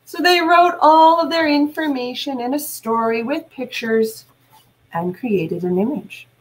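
A woman, a teacher reading aloud and talking deliberately to young listeners. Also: high-pitched, poor audio. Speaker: teacher and read-aloud host. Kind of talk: read-aloud and instructional talk to children. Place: small indoor room at home.

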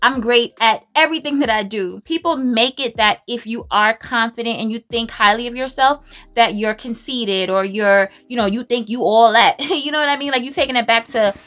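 A woman's voice: on the high side, moderate pace, a bit bright. A woman, chatting casually and emphatically while doing her makeup in a home closet. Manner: chatty, emphatic, self-assured, laughs often. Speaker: beauty vlogger. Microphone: camera on a stand, close.

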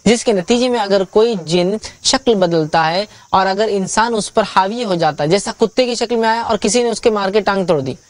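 A man's voice: high voice, dry recording. A middle-aged man giving a religious lecture, explaining a question through emphatic restatement and repetition on a studio stage. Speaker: Islamic preacher.

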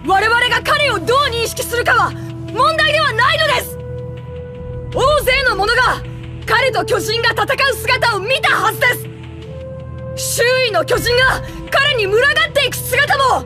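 A woman's voice: extremely high pitch, expressive, moderate pace. A woman voicing an earnest teenage boy soldier in an anime game, declaiming with urgent, desperate passion. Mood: urgent pleading. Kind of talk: dramatic Japanese anime game acting. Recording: loud orchestral music behind. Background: loud dramatic music.

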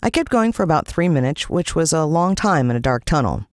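An American woman narrating a scripted children's adventure story in an expressive style. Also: low voice, fairly quick, no room sound, studio quality. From United States. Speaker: audiobook narrator.

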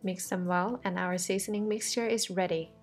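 A woman's voice: mid-range voice, steady tone, moderate pace, over music. A woman, the host of a cooking channel, talking through a recipe step by step in a friendly, reassuring voice-over. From Thailand.

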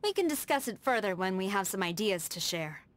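An actress playing a stern, proud tengu warrior woman in a video game, with composed, firm and measured formal speech. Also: mid-range voice, big pitch swings, a little hiss or hum, close to an everyday voice. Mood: composed, earnest. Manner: formal, measured, precise diction. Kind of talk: scripted video game character dialogue. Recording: studio voice over background music.

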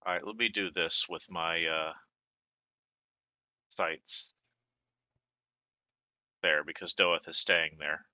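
Deep, crisp-voiced man, very bright. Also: fairly even intonation, no echo. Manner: deliberate, narrating his moves in short phrases. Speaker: card game player. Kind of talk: casual game commentary. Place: online voice call over a card game. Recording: dry, slightly dull sound.

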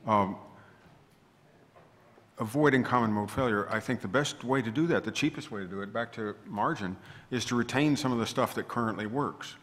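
A man's voice: medium pitch, moderate pace, fairly bright tone. A middle-aged man speaking on a conference panel, thoughtful and digressive, restarting his sentences. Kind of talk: panel discussion. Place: large conference hall. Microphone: table microphone. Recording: reverberant conference hall.

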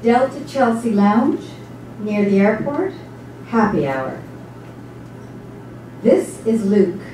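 A woman's voice: mid-range voice, big pitch swings, slow pace, dark tone. A woman narrating a literary text in a dramatic stage reading. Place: small indoor theatre stage before an audience. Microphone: distant camera microphone. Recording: echoey hall, distant microphone, faint noise.

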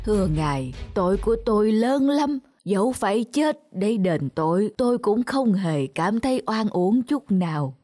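A woman plays a poor old servant man in a cartoon, humble and heavy with guilt. The words come out sorrowful and self-blaming, with music clearly behind. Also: medium pitch, expressive, moderate pace, few pauses, warm tone, natural voice. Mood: sorrowful, remorseful. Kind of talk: scripted cartoon dub. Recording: music under the dialogue.

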